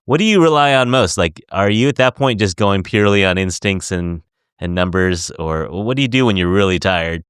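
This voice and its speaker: Giggly chatty man hosting, smooth voice. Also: low voice, expressive, moderate pace, no room sound, studio quality. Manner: chatty, giggly, rambling questions. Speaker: podcast host. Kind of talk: casual interview.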